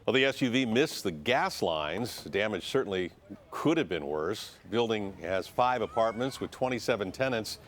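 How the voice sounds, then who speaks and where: A male news anchor with a lowish voice, reading stories steadily and plainly. The delivery stays even and businesslike. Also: normal speed. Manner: steady matter-of-fact reading.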